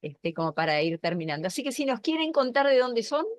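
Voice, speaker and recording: Argentine woman pastor explaining calmly. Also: on the high side, brisk. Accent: Argentine Spanish. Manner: thoughtful, explanatory, with many fillers and restarts. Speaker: pastor and class host. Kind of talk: online Bible class, explaining and moderating. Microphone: video call.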